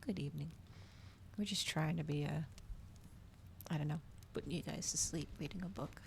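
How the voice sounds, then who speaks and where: A woman with a low voice full of vocal fry reads in a soft ASMR style. She keeps it hushed, often dropping toward a whisper, as if telling a bedtime story. There is faint noise behind her. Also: normal speed. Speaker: streamer reading a book aloud.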